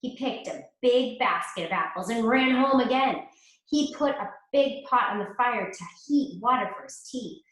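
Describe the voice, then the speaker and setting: Woman teacher, read-aloud storyteller; lively pitch, slightly high; roomy echo. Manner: slow, expressive reading for children. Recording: echoey room.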